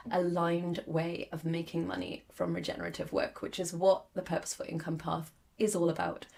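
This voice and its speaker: A woman in her forties hosting a podcast, hoarse as her lost voice comes back, talking candidly and reflectively with many fillers. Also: on the low side. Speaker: podcast host. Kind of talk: solo podcast monologue.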